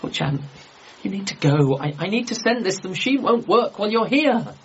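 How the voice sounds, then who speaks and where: An actor playing an anxious, eccentric, earnest son in a radio comedy drama, with a British accent and hesitant starts. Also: male voice, high voice, animated, normal speed, breathy edge, full character voice. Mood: flustered, wary. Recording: slightly muffled, music behind.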